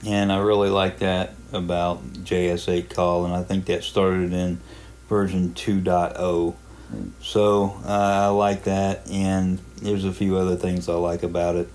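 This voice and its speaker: A man giving a casual, unhurried tutorial narration, explaining a hobby software program step by step in plain terms. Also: low voice, level tone. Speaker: amateur radio hobbyist presenting a software walkthrough.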